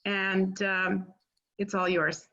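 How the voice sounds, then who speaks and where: A woman's voice: mid-range voice, little pitch movement, slow pace, vocal fry, fairly bright tone, lo-fi sound. A woman hosting a webinar on a video call, gushing thanks in a gracious, rambling way. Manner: effusive, gracious, rambling with restarts. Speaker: webinar host. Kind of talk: welcoming introduction.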